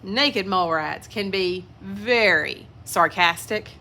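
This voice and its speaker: A woman's voice: medium pitch, slow, bright, forceful tone, a little hiss or hum. A woman reading a children's picture book aloud expressively, with asides to young listeners. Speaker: children's story reader.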